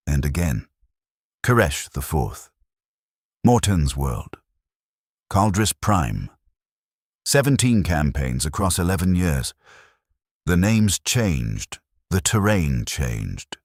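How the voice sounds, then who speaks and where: A man narrating a scripted first-person war story in a grave, measured, formal manner. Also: rumbling low, no room sound, studio quality.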